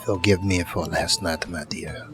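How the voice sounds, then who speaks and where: A man's voice: sits low, fairly even intonation, unhurried, over music. A man playing a swaggering, courtly male charmer in a fantasy audio drama. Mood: suave, apologetic.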